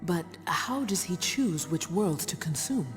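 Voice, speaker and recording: A composed, knowledgeable game superheroine with a very low voice. She explains in measured phrases over clear background music. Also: expressive. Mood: calm, informative. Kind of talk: video game cutscene acting.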